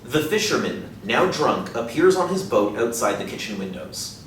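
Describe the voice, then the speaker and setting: A man's voice: medium pitch, sing-song, moderate pace, a bit bright. A man in his thirties reading scripted stage directions as narrator of a play reading. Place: small indoor room, seated. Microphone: distant camera. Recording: echoey room, distant mic, faint noise.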